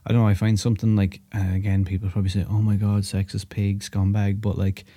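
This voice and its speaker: A man with a low, fairly flat voice and a dry, close sound co-hosts a podcast. He chats casually in a hesitant, roundabout way, leaning on "like" and "you know". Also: quick pace, no echo. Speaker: podcast co-host. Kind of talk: casual chat.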